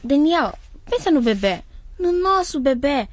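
A woman's voice: high voice, big pitch swings, a little slow, dry recording. An actress playing an anxious pregnant schoolgirl in a radio drama, earnest and pleading.

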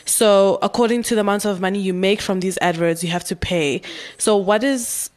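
Hesitant woman, mid-pitched. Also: moderate pace. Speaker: radio station representative asking a question. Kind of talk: informal audience question.

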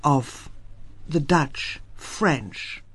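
A South African woman whose first language is Afrikaans, speaking with firm emphasis and a lively, rising-and-falling pitch. The sound is dry and close, with no room echo. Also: drawn-out words, slightly rough. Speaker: interview guest, Afrikaans writer. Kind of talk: radio interview.

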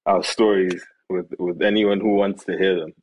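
A man's voice: low voice, normal speed, husky, rough recording. A man in his thirties from Kenya, a farmer and entrepreneur, talking casually about his life with lots of fillers and restarts. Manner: relaxed, rambling with many fillers and restarts. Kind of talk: casual conversational storytelling.

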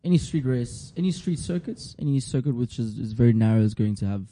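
Zulu-speaking man with a dark, worn voice. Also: mid-range voice, brisk, a little hiss or hum. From South Africa. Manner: laughs often, relaxed banter. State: strained voice from shouting. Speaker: podcast co-host. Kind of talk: casual chat. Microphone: studio mic.

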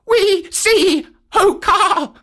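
Cowed storybook monkeys under a spell. They wail their answers in a very high, loud cry. The pitch sits far above an everyday voice, full of helpless dread. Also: heavily acted. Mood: entranced, fearful. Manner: high wailing, drawn-out answers. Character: cowed, entranced horde of wailing monkeys.

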